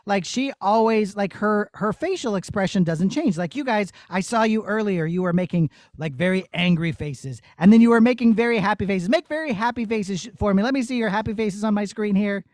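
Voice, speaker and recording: Male puppeteer-teacher, high voice, casual and encouraging with children, close dry recording. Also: lively intonation, fairly quick, few pauses, dry recording. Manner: encouraging, conversational, checking in with listeners. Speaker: puppeteer giving a master class. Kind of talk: casual explanation and demonstration for children.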